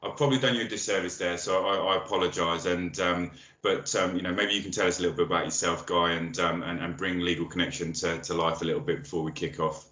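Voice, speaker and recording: Male podcast host, very low voice with a bright edge, echoey muffled recording. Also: fairly even intonation. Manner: friendly, quick when asking questions, hesitant fillers. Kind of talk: podcast interview.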